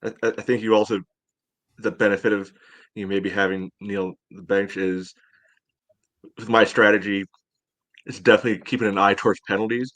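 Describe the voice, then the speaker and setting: A man's voice: fairly low pitch, fairly bright tone. A man co-hosting a podcast, talking soccer tactics casually and ramblingly, with frequent verbal filler. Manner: rambling, peppered with "you know". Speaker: podcast co-host.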